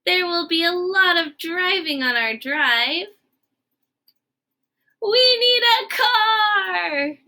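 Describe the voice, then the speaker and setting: A woman voicing an anxious, excitable elephant who loves plans, with emphatic, drawn-out exclamations in a children's storybook. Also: extremely high pitch, voice pushed up, full character voice. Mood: urgent, excited. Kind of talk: children's storybook read-aloud.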